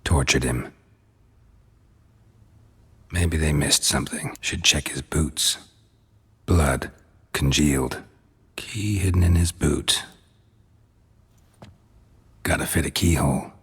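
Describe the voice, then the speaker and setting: A man with an American accent playing a gruff, stoic, world-weary monster hunter in a video game, husky and deadpan in clipped phrases. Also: slow pace, long pauses, full character voice. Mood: terse musing. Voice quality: gravelly, husky. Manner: clipped, deadpan, low-key.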